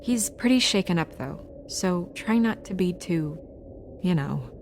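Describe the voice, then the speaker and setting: A woman's voice: on the low side, lively intonation, measured pace, a little mellow, slight background noise. A woman playing a poised, determined fairytale office administrator who is defiant yet caring, in naturalistic game acting. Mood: earnest, worried.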